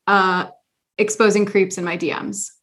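A woman co-hosting a podcast in a casual chat, animated, laughing often and talking with many fillers. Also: medium pitch, normal speed. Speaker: podcast co-host.

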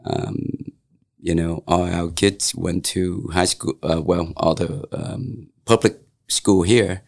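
Gravelly older man, Vietnamese accent. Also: deep voice, fairly even intonation, a little mellow. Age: 60s. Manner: halting, many fillers and restarts. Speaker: interviewee, local business owner. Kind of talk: casual oral-history interview.

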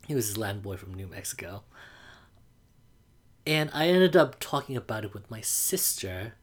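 A man, calmly telling a personal coming-out story to the camera, often pausing on "like" as he thinks. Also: fairly low pitch. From Burma. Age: 20s. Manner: reflective, unhurried, frequent "like" fillers.

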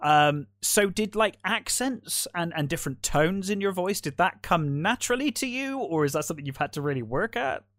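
A man's voice: high voice, animated, normal speed, hardly any pauses, a bit bright, dry recording, studio quality. A male interview host asking questions in a curious, eager way. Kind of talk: casual interview chat.

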